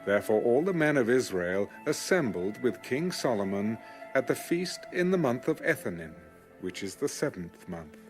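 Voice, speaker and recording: A man reading scripture as a scripted audio Bible narration, in a measured, formal way. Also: medium pitch, big pitch swings. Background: music under the reading.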